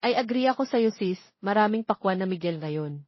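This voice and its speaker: A woman with a Filipino accent voicing a chatty, flattering wedding makeup artist in an audio story. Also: medium pitch, moderate pace, no room sound, muffled recording, lightly acted. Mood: gossipy, admiring.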